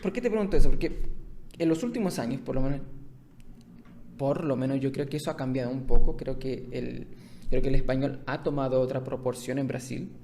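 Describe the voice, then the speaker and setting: A man's voice: mid-range voice, brisk, creaky, background noise. A man from Chile hosting a podcast interview over a video call, joking and laughing often. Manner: laughs often, jokes, restarts words. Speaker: podcast host.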